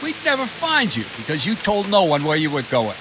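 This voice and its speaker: An actor playing a gruff, bullying veteran captain in a video game, scolding with sarcasm and authority. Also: male voice, on the high side, huge pitch swings, fairly quick, noisy background. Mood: sarcastic, scolding. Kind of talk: video game cutscene acting.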